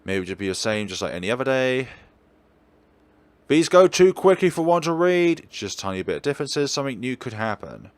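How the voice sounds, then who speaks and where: A man hosting a let's play, chatting through a visual novel in an animated way. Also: mid-range voice, brisk, dry recording.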